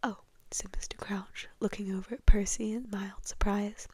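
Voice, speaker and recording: A woman reading a novel aloud in a breathy whisper, informally, in an ASMR style. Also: mid-range voice, level tone, normal speed, background noise. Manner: informal, stumbles over words and comments on the text.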